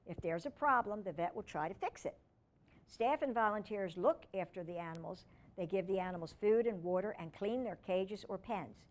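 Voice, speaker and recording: A woman reads a storybook aloud for children at a mid-range pitch. She narrates as a storytime reader and drops in informal asides. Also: normal speed, poor audio.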